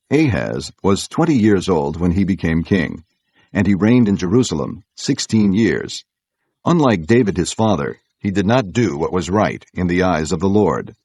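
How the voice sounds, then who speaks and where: A man narrating scripture from a script in a solemn, measured storytelling manner. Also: low voice, darkish tone, dry recording.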